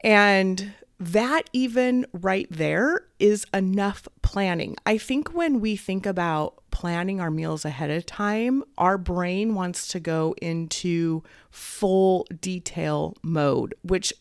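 Chatty woman coach with a cold, bit raspy, encouraging, slow easy talk. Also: fairly low pitch, no echo, studio quality. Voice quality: slightly raspy. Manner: upbeat, encouraging, faith-based. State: head cold, clearing her throat. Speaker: podcast host and weight coach. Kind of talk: casual solo podcast talk.

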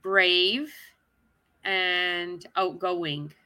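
A woman's voice: on the low side, fairly even intonation, very slow, sharp tone, dry recording. A woman from Canada, talking as an interview guest in a chatty, upbeat way. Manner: chatty and upbeat, with frequent restarts and laughs. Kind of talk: casual interview chat.

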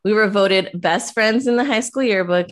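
A woman hosting a casual talk, chatty and telling quick stories with frequent laughter. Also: mid-range voice. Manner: chatty, laughs often, storytelling. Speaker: host, professor. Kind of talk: casual conversation.

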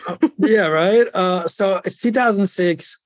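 Guest speaker, thirties man, self-deprecating and candid, higher-pitched, close dry mic. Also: unhurried, no room sound. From Ukraine. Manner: candid, self-deprecating, speeds up when excited. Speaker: podcast guest. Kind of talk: casual storytelling interview.

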